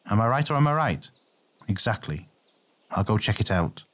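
A man voicing a brash, hot-tempered young male warrior in an audiobook, blunt, quick and excitable. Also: lowish voice, close to an everyday voice. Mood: cocky. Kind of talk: acted audiobook dialogue.

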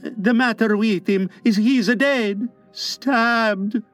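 A man's voice: sits high, slow, a bit bright, no room sound, far from the mic, faint music behind, pitched above the performer's own voice. A man voicing a terrified, superstitious pirate underling in a storybook, gibbering with dread in a hollow voice. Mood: horrified. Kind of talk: dramatised storybook reading.

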